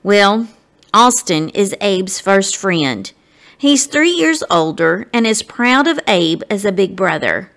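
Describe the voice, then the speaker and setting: A woman narrating a children's storybook expressively, with deliberate phrasing that addresses young listeners directly. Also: medium pitch, sing-song, fairly bright tone. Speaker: guest story reader.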